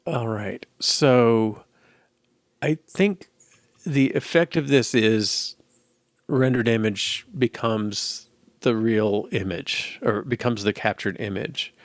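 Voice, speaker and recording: A middle-aged man thinking aloud as he codes, musing and halting as if muttering to himself, in casual commentary. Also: mid-range voice, dry recording, studio quality. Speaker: programmer live-coding. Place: indoor room at a desk.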